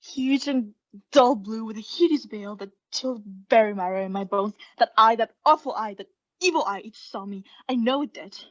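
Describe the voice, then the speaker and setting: A woman playing an obsessed mad murderer in a theatrical radio play, confessing intensely in hushed whispers and frantic outbursts. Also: a little high, normal speed, dull audio, natural voice. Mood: hushed, creeping dread.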